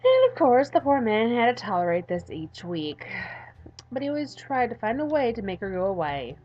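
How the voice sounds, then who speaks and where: A woman's voice: fairly high pitch, very animated, moderate pace, a little mellow, lo-fi sound. A woman with a cold giving theatrical, playful let's play commentary as she reads a visual novel aloud. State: has a cold, coughing. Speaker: gaming video host.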